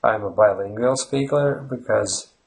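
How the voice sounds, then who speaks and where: Gravelly low-voiced Latvian man. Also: measured pace, poor audio. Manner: rambling, many restarts and fillers. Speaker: language learning channel host. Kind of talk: unscripted explanatory monologue. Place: Ireland.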